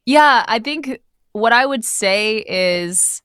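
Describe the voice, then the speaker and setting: A woman's voice: a little high, animated, slow pace, a bit bright, no echo, clean studio sound. A woman speaking casually as an interview guest who narrates audio drama, explaining things in a reflective way.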